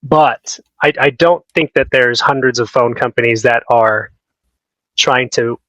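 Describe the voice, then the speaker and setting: A man in sales, a guest on a casual podcast, laid-back and quick to laugh. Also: medium pitch, fairly bright tone, dry recording, dull audio.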